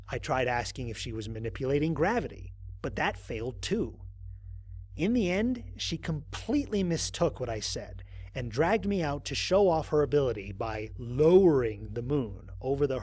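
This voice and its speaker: A man's voice: fairly high pitch, husky, dry recording, heavily acted. A man playing a wry, analytical stranded space explorer narrating his mission log in an audio drama in a matter-of-fact way.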